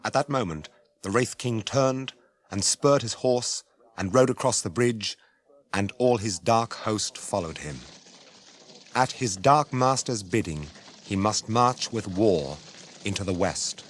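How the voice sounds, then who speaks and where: An actor playing a grave storyteller, narrating an epic fantasy radio drama in a solemn, measured, formal manner. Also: male voice, lowish voice, expressive, a little hiss or hum. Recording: music under the narration.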